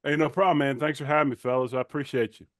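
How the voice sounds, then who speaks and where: Man, middle-aged, informal sports talk, plain-spoken, mid-range pitch, dry close sound. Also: steady tone, very fast, dry recording. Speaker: guest, former football player turned sports commentator. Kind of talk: conversational sports analysis.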